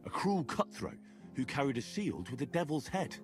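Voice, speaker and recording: An actor playing an earnest young man in a medieval video game, with a husky voice and a hesitant manner. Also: medium pitch, big pitch swings, moderate pace, music in the background, lightly acted. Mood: grave. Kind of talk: video game dialogue acting.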